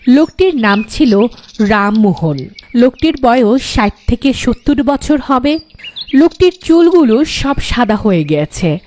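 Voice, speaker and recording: A woman's voice: on the high side, a little hiss or hum. A woman voicing a frightened young daughter who narrates a scripted horror cartoon with dramatic delivery.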